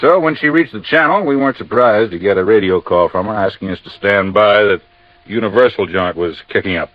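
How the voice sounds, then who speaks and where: An American actor playing a matter-of-fact, folksy Coast Guard captain explaining things in a 1950s radio drama. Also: male voice, fairly low pitch, a little fast, dry recording, close to an everyday voice. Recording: dull, dry vintage radio recording.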